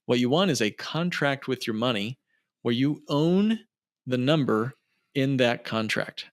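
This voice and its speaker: A man's voice: medium pitch, animated, measured pace, no room sound, studio quality. Emphatic man explaining on a podcast. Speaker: financial planner, podcast guest. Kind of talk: conversational explaining on an interview podcast.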